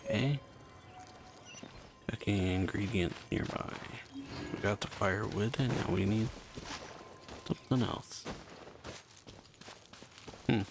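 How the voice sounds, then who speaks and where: Slow, very deep, gravelly game streamer. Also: noisy background. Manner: muttering, trailing off. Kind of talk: casual gameplay commentary.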